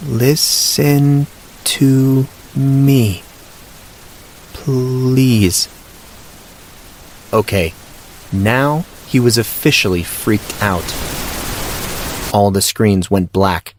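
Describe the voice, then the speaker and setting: Lower-pitched man narrating fiction. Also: slight background noise, poor audio.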